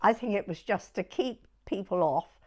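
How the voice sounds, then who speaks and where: A woman in her nineties with a southern English accent, reminiscing in an unhurried, halting way in short broken phrases. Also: medium pitch. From southern England. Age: 90s. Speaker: oral history interviewee. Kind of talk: personal reminiscence in conversation.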